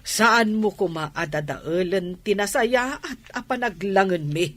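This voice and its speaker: A gentle, loyal wife in a radio drama. Her mid-pitched voice has a husky, breathy edge as she defends the partner she trusts. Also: lively intonation, a little slow. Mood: defensive, hurt. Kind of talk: Ilocano radio drama acting.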